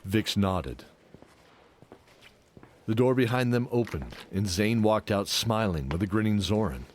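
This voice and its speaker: A man's voice: on the low side, animated, a little slow, slight background noise. A man narrating a scripted fantasy audio drama. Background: loud music.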